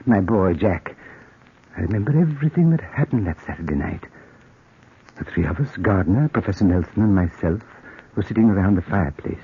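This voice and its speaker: Deep voiced desperate killer, husky, brooding confession, tinny old radio. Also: male voice, full character voice. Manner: brooding confessional narration, hushed. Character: desperate scheming middle-aged male murderer. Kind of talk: 1940s radio acting.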